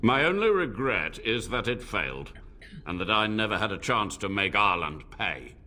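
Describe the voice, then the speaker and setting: A haughty old mage, lively and bright. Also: male voice, fairly low pitch, moderate pace. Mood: proud. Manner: haughty, lofty and dramatic delivery. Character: arrogant old human mage, cold and scornful. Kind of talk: video game voice acting.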